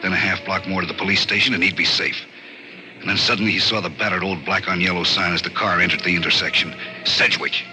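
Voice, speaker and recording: A man's voice: low-pitched, fairly even intonation, quick pace, pushed and bright, faint music behind. A man narrating a 1940s radio thriller in a terse, suspenseful, dramatic scripted style. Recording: old radio broadcast recording.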